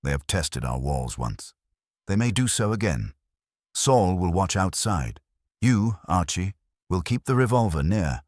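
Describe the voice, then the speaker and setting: A man voicing a solemn, heavyset detective genius in an audiobook, formal, deliberate and authoritative. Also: low voice, sing-song, darkish tone, dry recording, clean studio sound, full character voice. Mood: grave, commanding. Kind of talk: audiobook character dialogue.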